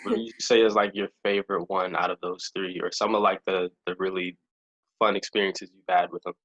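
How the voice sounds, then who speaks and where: A man's voice: sits low, little pitch movement, a little fast, fairly bright tone, dull audio. A young man hosting a casual interview podcast, upbeat and conversational, leaning on "you know" as he talks. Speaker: podcast host.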